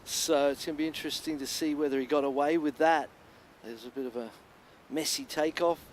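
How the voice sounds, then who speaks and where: Higher-voiced male surf commentator. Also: fairly even intonation, brisk, slight background noise. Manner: chatty, reflective between waves. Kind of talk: live sports commentary.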